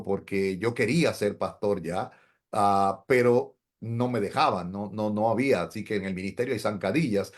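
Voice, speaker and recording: A middle-aged man, a pastor, telling his story in an interview in an earnest, reflective way. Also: on the low side. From Dominican Republic. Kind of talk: interview testimony.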